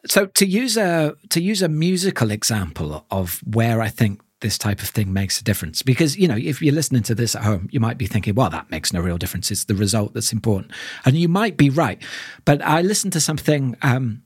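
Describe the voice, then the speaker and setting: A man's voice: mid-range voice, expressive, brisk, slightly rough, no room sound. A man in middle age speaks as a podcast co-host. His delivery is reflective and searching, with stress on key words, and the sound is dry with hardly any room on it. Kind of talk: reflective discussion of audio drama.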